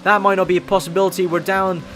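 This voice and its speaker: Hoarse man narrating a race game. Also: high voice, a little hiss or hum. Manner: excitable, rapid play-by-play. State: hoarse, losing his voice. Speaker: gaming YouTuber. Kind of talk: live racing game commentary.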